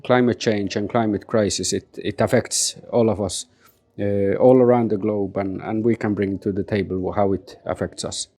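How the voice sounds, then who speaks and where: Hesitant Sami man, dark mellow voice. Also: fairly low pitch. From Sapmi, northern Scandinavia. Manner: hesitant, many fillers and repeated words. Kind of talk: unscripted interview answer. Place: summit venue in Geneva.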